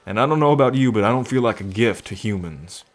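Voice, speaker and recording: A man's voice: fairly low pitch, animated, fast talker, close to an everyday voice. A man playing a friendly, earnest half-bull man in an ASMR roleplay, talking in a chatty, folksy way. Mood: warm, folksy. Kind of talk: ASMR roleplay, acted speech to the listener.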